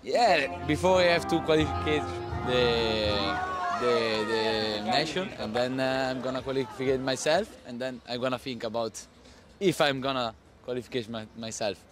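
A man who races windsurfers gives an interview in non-native English phrasing. His pitch is average, and clear music runs behind him. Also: unhurried. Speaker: competitive windsurfer. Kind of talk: post-race interview.

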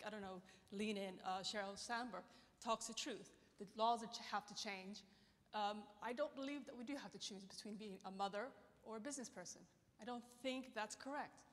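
A woman's voice: mid-range voice, a bit bright. Earnest woman speaking up from the audience. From Britain. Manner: earnest, challenging, fluent. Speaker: audience member asking a question. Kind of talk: audience comment at a conference. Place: large conference hall, seated in audience. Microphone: handheld microphone. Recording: long hall reverb.

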